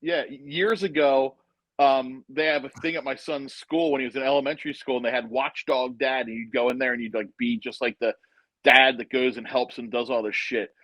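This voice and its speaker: A man's voice: mid-range voice, fairly quick, no room sound, poor audio. A man in his fifties chatting casually as a guest and telling rambling, joking stories. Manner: joking, rambling storyteller, speeds up when excited. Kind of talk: casual chat, storytelling.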